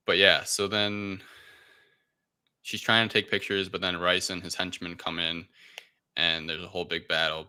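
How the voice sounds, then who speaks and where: A man's voice: sits low, bright, forceful tone, smooth. A man co-hosting a casual film review chat, talking in a hesitant, rambling way. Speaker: podcast co-host reviewing films.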